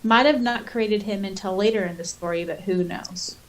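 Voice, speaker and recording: A woman's voice: medium pitch, moderate pace, poor audio. Giggly woman co-hosting a fan podcast. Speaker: podcast co-host. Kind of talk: casual fan discussion, reading listener comments aloud.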